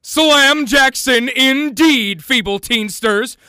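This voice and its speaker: A man's voice: very high voice, slow pace, noisy background. A man playing a pompous, melodramatic narrator of a comic detective radio serial. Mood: grandiose.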